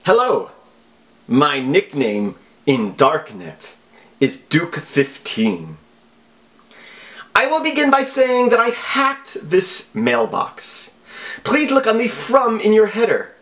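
A man voicing a menacing, over-dramatic evil hacker villain in a made-up foreign accent, slow and theatrical. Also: sits high, huge pitch swings, a bit bright, voice pushed up, full character voice. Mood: boastful menace. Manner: slow, theatrical, deliberate delivery with broken English.

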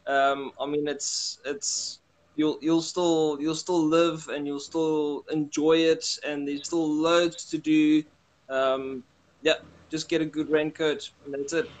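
A young man with a South African accent chatting relaxed on a video call, rambling with many restarts. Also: fairly high pitch, slow pace, low-quality recording. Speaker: guest. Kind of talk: casual video call chat. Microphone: webcam on video call.

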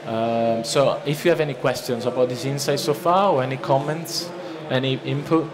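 A man's voice: mid-range voice, steady tone, moderate pace, crowd noise. Italian-accented man presenting at a conference. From Italy. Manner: conversational, loose, with many restarts and fillers. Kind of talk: seminar talk to an audience. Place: large conference hall, at a lectern. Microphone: lectern microphone. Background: listening audience.

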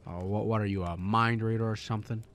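A man's voice: on the low side, fairly even intonation, quick pace, a bit bright. A man playing a despairing, skeptical everyman in a radio drama, wry and stammering. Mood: incredulous. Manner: stammering, sardonic, incredulous.

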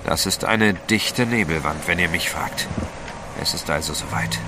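An actor playing a resolute, protective gentleman adventurer in a radio play, assured and commanding. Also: deep voice, measured pace. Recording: noisy ship ambience effects.

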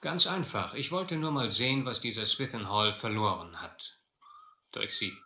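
Low-voiced, sharp-edged shrewd seafarer. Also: male voice, big pitch swings, normal speed, heavily acted. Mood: coolly confronting. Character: shrewd, adventurous seafaring man, quietly probing. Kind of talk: radio drama acting, first-person narration and dialogue. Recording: roomy, slightly noisy old radio-play recording.

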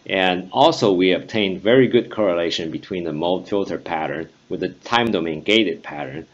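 A man presenting a technical conference talk over slides, measured and full of "uh" fillers. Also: low voice. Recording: dull lo-fi voice-over recording.

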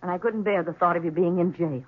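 A woman's voice: lowish voice, fast talker, breathy edge. A woman playing an earnest, regretful widow in a 1970s radio drama. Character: earnest, regretful widow visiting her ex-husband. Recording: tinny 1970s radio broadcast.

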